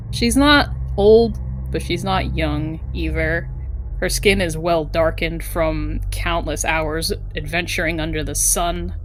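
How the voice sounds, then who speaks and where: A woman with a slightly low voice speaks at a very unhurried pace. She is a tabletop player, describing things casually and conversationally. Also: fairly bright tone. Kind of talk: casual character introduction.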